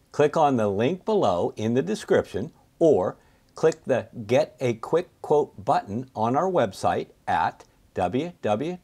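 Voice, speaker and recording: A man in his fifties delivers a scripted product pitch as a company presenter. His mid-pitched voice moves up and down in a lively way, as he speaks to camera in a studio. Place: indoor studio with plain backdrop.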